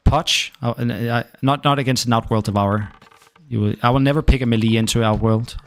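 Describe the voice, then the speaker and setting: A man's voice: mid-range voice, gravelly. Laid-back Danish-accented young man. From Denmark. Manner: laid-back, swears casually, chats to viewers. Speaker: game streamer. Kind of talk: casual gaming stream chat.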